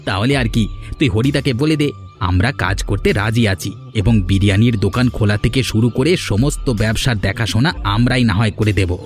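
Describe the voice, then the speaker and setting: A man's voice: lowish voice, fairly quick, faint music behind, lightly acted. A man playing a simple-minded, greedy village workman in a cartoon, an eager sidekick. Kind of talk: cartoon voice acting.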